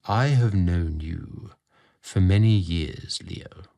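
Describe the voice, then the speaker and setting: A man voicing a dignified older lord, stern yet kind and deliberate, in an audio story. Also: sits low, vocal fry, full character voice. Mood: solemn, accepting. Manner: measured, softly stern, deliberate. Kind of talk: audio storybook dramatic reading.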